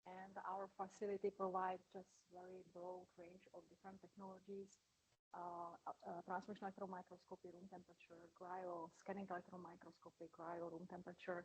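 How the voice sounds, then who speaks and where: Very husky, flat-voiced woman. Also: on the low side, brisk, poor audio. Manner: hesitant, many uh fillers. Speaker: electron microscopy facility head. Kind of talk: panel introduction. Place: conference hall at a forum.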